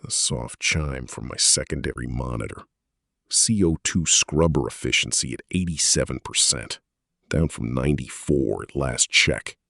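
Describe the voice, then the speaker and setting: Low, husky Canadian man narrating a story. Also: normal speed, bright, forceful tone, dry recording, studio quality. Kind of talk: first-person scripted audiobook narration.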